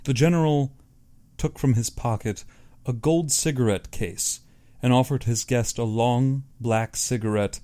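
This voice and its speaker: A man's voice: medium pitch, unhurried, mellow. A man reading a literary short story aloud as an audiobook narrator.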